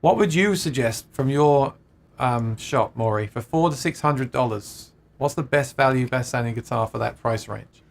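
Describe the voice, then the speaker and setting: A man's voice: medium pitch, normal speed, gravelly. A man co-hosting a casual guitar livestream, reading viewer comments aloud and laughing often.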